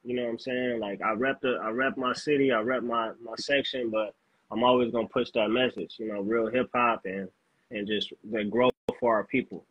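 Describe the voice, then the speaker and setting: A man from Los Angeles, a rapper being interviewed, talking in a laid-back, halting way with lots of fillers. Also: medium pitch, steady tone, breathy edge, dry recording, lo-fi sound. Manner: laid-back, halting, fills with "you know what I'm saying". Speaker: guest rapper. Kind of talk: casual interview chat.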